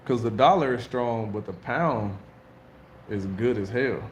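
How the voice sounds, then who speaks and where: A man's voice: mid-range voice, measured pace. An American man hosting a casual livestream, talking loosely in slang and laughing often. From United States. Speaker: livestream host. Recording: echoey room with faint noise.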